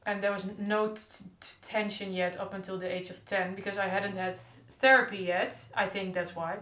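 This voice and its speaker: A woman's voice: mid-range voice, steady tone, normal speed, a bit bright. A young woman who stutters openly with repeated sounds, talking in a casual diary-style vlog. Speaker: stuttering vlogger. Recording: echoey room.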